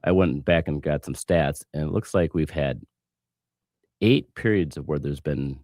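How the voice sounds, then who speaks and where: A man hosting a casual finance podcast, hoarse from a cough, relaxed and jokey. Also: extremely low pitch, measured pace, no echo, clean studio sound. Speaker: podcast host.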